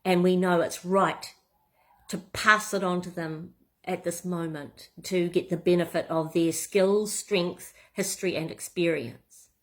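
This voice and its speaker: A woman's voice: on the low side, measured pace. Conversational New Zealand businesswoman. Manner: relaxed and conversational, with frequent fillers and restarts. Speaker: business coach. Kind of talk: talking-head business tips.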